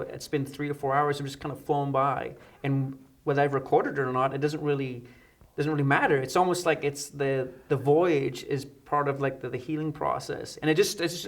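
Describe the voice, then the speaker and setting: Fast-talking, higher-pitched middle-aged man. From United Kingdom. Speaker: interview guest, electronic musician. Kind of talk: conversational interview, anecdotes. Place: dark indoor studio set, seated.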